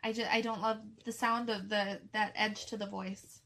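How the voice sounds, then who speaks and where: A woman's voice: mid-range voice, little pitch movement, normal speed. A woman co-hosting a podcast, chatting casually and laughing often. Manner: warm, giggly, calls others "girl". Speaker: podcast co-host. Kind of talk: casual chat.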